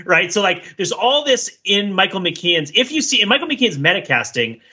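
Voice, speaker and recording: A man's voice: high voice, very animated, moderate pace, sharp tone, husky, highs cut off. A man co-hosting a podcast, chatting casually and analytically in long, discursive, layered arguments. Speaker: podcast co-host. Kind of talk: casual analytical panel chat about TV.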